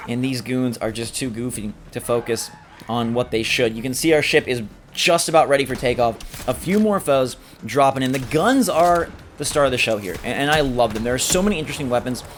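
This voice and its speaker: A man's voice: medium pitch, a little fast. Man doing gameplay commentary over game noise. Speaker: gameplay video host. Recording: game sound loud behind voice. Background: video game action noise.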